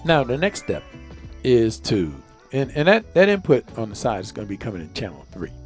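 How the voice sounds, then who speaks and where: A man's voice: medium pitch, wildly swooping pitch, brisk, soft background music. A man giving a casual step-by-step tutorial as a video creator, rambling and often repeating himself.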